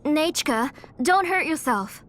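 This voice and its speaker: A proud, protective motherly figure speaks in a fairly high voice. Her manner is formal and earnest, in the style of video game drama, with music clearly behind her. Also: lively intonation, takes it slowly, a bit bright, exaggerated voice. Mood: worried scolding.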